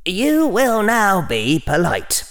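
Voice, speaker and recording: A nagging voice of conscience in a storybook, put on by a male narrator, pitched up high. It scolds with slow, heavy emphasis and bossy insistence. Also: huge pitch swings, fairly bright tone, heavily acted. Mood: bossy, exasperated. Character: bossy scolding inner voice inside a boy's head. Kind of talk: audiobook character dialogue.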